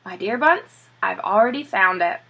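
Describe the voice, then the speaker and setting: A woman's voice: medium pitch, lively intonation, normal speed, vocal fry, dull audio. A woman voicing a crafty, bossy male farmer, a scheming storybook villain who speaks curtly and emphatically. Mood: smug.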